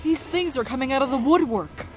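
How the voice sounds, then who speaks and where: A woman playing an excitable, desperate survivor in a zombie apocalypse fan audio drama, emotional and exclamatory. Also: high-pitched, fairly quick, soft background music. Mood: anxious, urgent.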